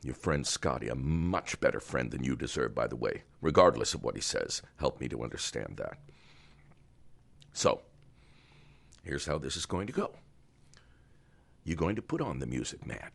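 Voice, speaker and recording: An actor playing a cold, controlling older man in an audio drama, threatening and swinging from hushed to loud. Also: sing-song, moderate pace, long pauses, heavily acted. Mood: cold menace. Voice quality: gravelly, very deep. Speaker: Doctor Barrows, wealthy older power broker.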